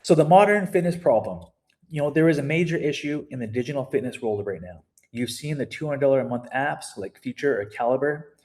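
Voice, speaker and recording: A man's voice: medium pitch, lively intonation, brisk, darkish tone. A man from Canada with a Canadian accent, a fitness coach giving an unscripted video walkthrough in a conversational, digressive way, talking straight to his viewers.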